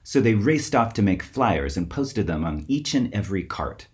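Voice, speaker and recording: Expressive man reading a storybook, very animated. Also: on the low side, normal speed. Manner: expressive, theatrical emphasis. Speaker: author reading own story. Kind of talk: scripted storybook narration for children.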